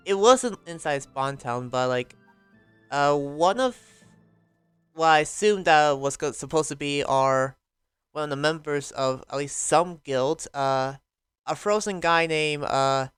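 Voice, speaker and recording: A man's voice: fairly high pitch, a bit bright, clean tone, no room sound. A man playing a troubled investigator in an improvised anime fantasy roleplay, casual, hesitant and rambling.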